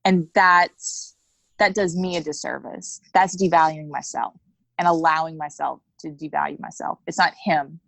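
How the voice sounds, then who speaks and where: A woman's voice: sits low, steady tone, moderate pace, vocal fry, a bit bright, no room sound, poor audio. A woman in her thirties speaking frankly as an interview guest, acting out little bits of dialogue as she talks. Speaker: coaching client, interview guest. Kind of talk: candid conversational interview.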